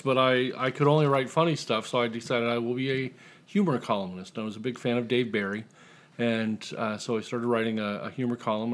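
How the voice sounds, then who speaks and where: A man's voice: medium pitch, a bit bright. A middle-aged man with an American accent, talking casually as a guest columnist in an interview. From Indiana, United States.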